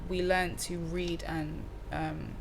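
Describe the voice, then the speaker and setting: Young woman musician, low-pitched, easygoing slangy talker, faint room hiss behind. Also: takes it slowly, vocal fry. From Cardiff, Wales. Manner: relaxed, slangy, many fillers and restarts. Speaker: musician guest. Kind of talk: casual interview chat.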